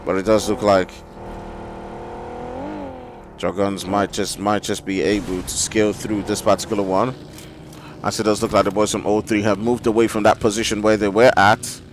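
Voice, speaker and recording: A man with an African English accent giving live play-by-play commentary on an esports match, with steady fillers as he calls the action. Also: lowish voice, noisy background. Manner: rapid excited calls with filler "you know". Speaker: esports caster. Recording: game gunfire and vehicle sounds behind.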